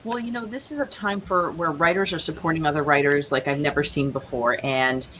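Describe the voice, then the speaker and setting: A woman's voice: low voice, a little fast, a bit bright. A woman speaking as an interview guest in an earnest, encouraging way, in casual remote conversation. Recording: hissy, noisy remote call audio.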